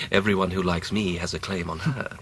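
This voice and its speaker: An actor playing a charming, careless young upper-class English gentleman student in a radio drama, breezy and languidly patronising. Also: low-pitched, steady tone, moderate pace, husky. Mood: breezy, affable. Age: young adult. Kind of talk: 1990s full-cast radio drama acting.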